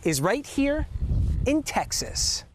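A man's voice: very high-pitched, big pitch swings, slow, background noise. A man reporting a news feature in scripted voice-over.

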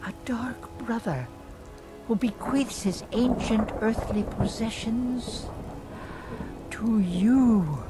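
An actress playing a weary old fortune-teller in a fantasy game, with halting, portentous phrasing over music. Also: female voice, a little high. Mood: entranced, ominous. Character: weary old fortune-telling seer woman, folksy, eerie in trance. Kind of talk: fantasy video game acting.

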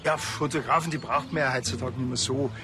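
A cheeky Bavarian policeman played by a man from a TV crime comedy. He speaks in a dry, offhand deadpan at a middle pitch. Music is clearly audible behind him. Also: fast talker, lightly acted. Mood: dry, teasing.

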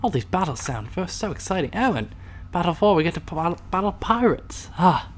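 A man's voice: sits high, big pitch swings, a little fast, slight background noise. A man hosting a gaming video in a put-on British accent, giving hammy, playful commentary as a loyal Imperial pilot. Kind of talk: playful in-character game commentary.

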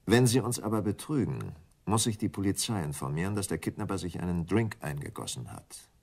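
A cool, calculating male schemer in a radio crime play. His voice is very low yet moves freely in pitch, as he lays things out with controlled command. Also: expressive, a little fast, a little mellow, breathy edge, exaggerated voice. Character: cool, calculating husband plotting a staged kidnapping. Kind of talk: radio crime drama acting.

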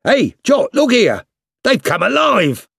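A British man voicing hearty, excitable soldiers of the trenches, calling out in an audiobook story. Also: very high voice, slow, creaky, breathy edge, no echo, clean studio sound, pitched above the performer's own voice, heavily acted. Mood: teasing. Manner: calling out, exclamatory. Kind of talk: audiobook character reading.

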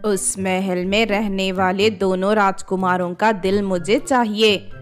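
A woman's voice: medium pitch, fairly even intonation, slow pace, faint music behind, voice pushed down, close to an everyday voice. A woman voicing a scheming witch in a children's cartoon story, persuasive and bossy in manner. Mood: commanding, menacing. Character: scheming witch, sweet-talking then commanding her captives.

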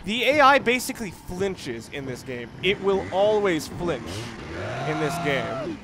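A man's voice: high voice, sing-song, measured pace. A man giving casual, rambling video game commentary, explaining his strategy as he plays and swearing mildly. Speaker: gamer, let's play host. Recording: noisy, game ambience behind voice. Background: video game sounds.

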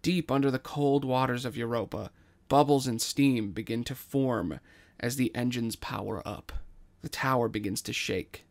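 Male narrator for scripted audio fiction: medium register, gravelly edge, reading stage directions. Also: medium pitch, moderate pace.

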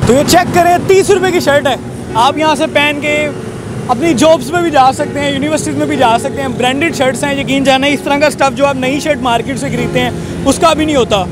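High-pitched energetic man presenting on a noisy street. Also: fairly quick. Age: 20s. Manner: energetic, salesman-like, repetitive. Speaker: street vlogger and host. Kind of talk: street market presenting to camera. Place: outdoor roadside market. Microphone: handheld recorder held at chest. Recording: noisy busy street with traffic and crowd. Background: crowd and passing vehicles.

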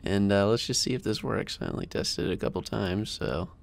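An American man in his thirties hosting a casual software screencast tutorial in an informal, chatty way, close to a desk mic. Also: fairly low pitch, fairly quick, creaky. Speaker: tutorial host. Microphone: close desk microphone.

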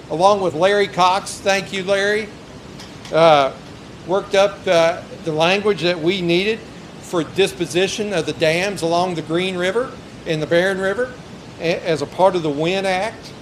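A middle-aged man speaks outdoors at a stand microphone, reading from notes and stumbling into fillers between phrases. His voice is a touch high for a man. Faint outdoor noise sits behind him. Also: unhurried, low-quality recording. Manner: reads prepared remarks with hesitant fillers. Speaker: local official. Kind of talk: introduction speech and technical explanation. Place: outdoors by a river.

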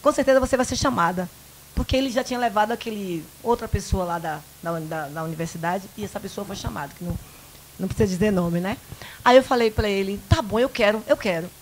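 A woman in her fifties from the Bahia countryside with a Bahian Brazilian accent, a guest in a small local studio interview, telling lively personal stories into a handheld mic and acting out the dialogue. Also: medium pitch, quick pace, a little hiss or hum, rough recording. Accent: Bahian Brazilian Portuguese. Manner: animated, acts out quoted dialogue, frequent "né?". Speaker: guest, event producer. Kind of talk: casual interview storytelling.

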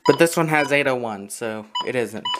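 A person giving a casual, halting, matter-of-fact show-and-tell narration, recorded on a handheld camera in a room at home. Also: mid-range voice, heavy background noise. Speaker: video creator showing a radio collection. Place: small room at home, indoors. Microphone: handheld camera close by.

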